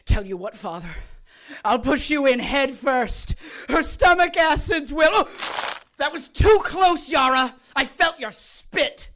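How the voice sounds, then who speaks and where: A spiteful villainess in an audio drama. She taunts in a high voice with big swings in pitch, taking her time over the menace. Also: lively intonation, takes it slowly, fairly bright tone, heavily acted. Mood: taunting menace. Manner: taunting, theatrical.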